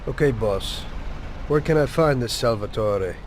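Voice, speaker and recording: Man acting a dutiful mid-pitched gangster underling. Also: expressive, measured pace, a little hiss or hum, natural voice. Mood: obedient, concerned. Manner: brief, deferential replies. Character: dutiful, eager gangster underling answering his boss. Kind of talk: video game cutscene acting.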